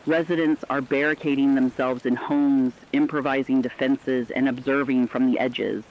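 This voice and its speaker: A man's voice: mid-range voice, steady tone, moderate pace, lightly acted. A man playing a solemn, formal news anchor giving emphatic warnings in a scripted emergency radio drama. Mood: solemn, urgent. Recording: dull lo-fi radio sound, rainy noise.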